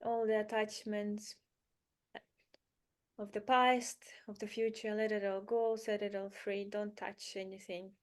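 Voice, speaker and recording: Woman doing guided meditation, really slow, soothing, short phrases w lots of pauses. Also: mid-range voice, level tone.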